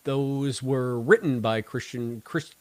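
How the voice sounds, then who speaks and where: A man co-hosting a casual podcast discussion, talking in a wordy, halting way and repeating phrases as he goes. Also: medium pitch. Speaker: podcast co-host.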